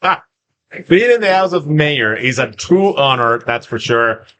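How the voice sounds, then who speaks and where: A man's voice: medium pitch, expressive, a little slow, bright, forceful tone. A man in his thirties from Chile with a Chilean Spanish accent, a guest talking enthusiastically with many fillers. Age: 30s. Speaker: party guest.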